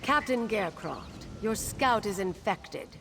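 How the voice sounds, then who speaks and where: A woman's voice: mid-range voice, lively intonation, a bit bright, a little hiss or hum. A woman voicing a cold, imperious, menacing witch leader in a video game. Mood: cold, threatening. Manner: slow, imperious.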